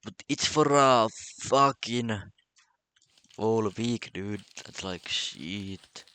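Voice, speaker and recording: Halting Finnish-accented man, slow talker. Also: on the low side, pushed and bright, smooth. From Finland. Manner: halting, stammers and repeats words. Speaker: vlogger. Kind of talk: casual solo vlog monologue.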